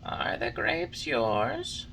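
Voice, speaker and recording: A man voicing a small female glowworm who gently scolds in a soft, slow, high voice. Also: wildly swooping pitch, creaky, a little hiss or hum, full character voice. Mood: gently scolding.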